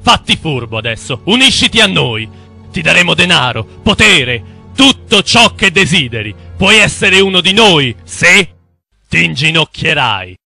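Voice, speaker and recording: Male actor as menacing banker villain, high sharp voice, imperious, declamatory, cartoon, music behind. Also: sing-song, a little slow, creaky, heavily acted. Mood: tempting. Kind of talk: animated film villain dialogue. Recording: music under the voice.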